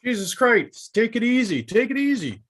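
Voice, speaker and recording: A man's voice: very high voice, big pitch swings, normal speed, fairly bright tone, full character voice. A man playing a placating, matter-of-fact mob henchman in a live table read of a film script.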